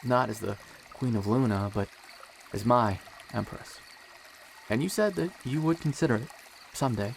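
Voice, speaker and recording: A man playing a tender, earnest young emperor in a romantic audio drama, gentle and sincere, with gentle teasing. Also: mid-range voice, moderate pace, close to an everyday voice. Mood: nervous, loving. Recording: clear noise with trickling water behind. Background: running creek water.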